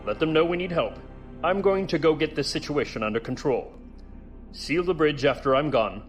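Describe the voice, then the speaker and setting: An actor playing a cold, arrogant imperial colonel in a science fiction audio drama, self-assured and menacing. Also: male voice, on the high side, moderate pace, lightly acted. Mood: commanding. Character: cold, arrogant imperial special operations colonel, male villain. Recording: music under the voice.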